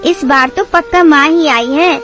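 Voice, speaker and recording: Lady doing a little baby bird, high excitable kid voice, cartoon, music behind. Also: measured pace. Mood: eager. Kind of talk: Hindi children's cartoon story acting.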